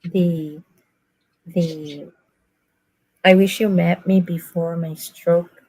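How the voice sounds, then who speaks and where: Woman from Brazil, Portuguese native, slightly slurred speech, flat mellow voice. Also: lowish voice, extremely slow, slightly rough, low-quality recording. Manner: searching for words. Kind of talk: casual chat.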